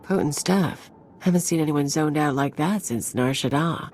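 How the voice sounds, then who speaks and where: An actress plays a cynical female mercenary in a sci-fi game. Her voice is low for a woman, with a dry, sardonic and easygoing delivery. Light background ambience is audible. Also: normal speed, a little mellow, a little hiss or hum, close to an everyday voice. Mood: amused, scornful. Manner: sardonic, casual. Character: wry, cynical, streetwise female mercenary sidekick. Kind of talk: video game voice acting.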